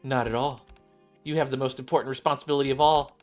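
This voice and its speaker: A stern but reassuring woman squad leader from a game, voiced by a man. The delivery is measured and formal, with calm command. Music plays behind. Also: medium pitch, natural voice.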